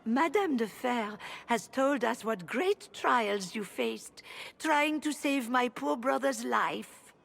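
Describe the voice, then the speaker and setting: An actress playing a stately grand cleric in a game, solemn and formal. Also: female voice, on the high side, expressive, gravelly, exaggerated voice. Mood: solemn, dignified. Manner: formal, measured. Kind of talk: dramatic game acting.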